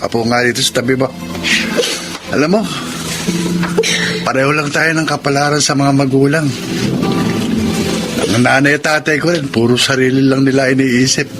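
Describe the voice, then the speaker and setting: A man's voice: medium pitch, fairly even intonation, normal speed, long pauses, close to an everyday voice, poor audio. Man acting an earnest, protective young man over music. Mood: consoling. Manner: heartfelt, dramatic. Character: earnest, protective young working man, hard-pressed but hopeful. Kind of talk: Tagalog radio drama acting.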